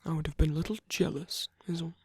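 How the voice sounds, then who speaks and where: A performer playing a suave, flirtatious villain at a royal masquerade in an ASMR audio roleplay, speaking softly and breathily with teasing, lingering pauses, close to the microphone. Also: medium pitch, little pitch movement, no echo. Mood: flirtatious, coy. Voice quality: breathy, soft, often near whisper. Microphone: close dry microphone.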